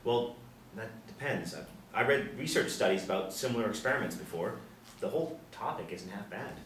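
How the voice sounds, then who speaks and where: An actor reads a mild, thoughtful man in a TV drama script. The voice is fairly low and sounds roomy and distant, with faint noise. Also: animated, fairly quick, natural voice. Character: mild, thoughtful man, helpless ghost companion. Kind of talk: table-read drama. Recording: echoey room, distant mic, faint noise.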